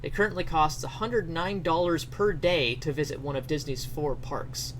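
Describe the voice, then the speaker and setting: A man's voice: fairly high pitch, moderate pace, a little hiss or hum. A man doing a mock dramatic reading, theatrical in delivery, with sarcastic asides.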